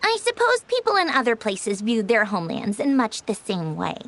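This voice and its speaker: A composed, reflective young woman for a video game. Her voice is fairly high with lively melody, and her manner is gracious, courteous and sincere. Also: normal speed, heavily acted. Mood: reflective, content.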